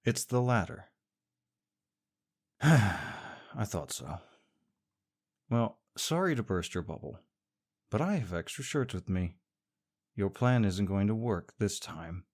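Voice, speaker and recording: Smug, teasing man with low voice. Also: lively intonation, takes it slowly, pauses often, a little mellow. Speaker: voice actor playing a smug rival co-worker. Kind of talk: scripted audio roleplay.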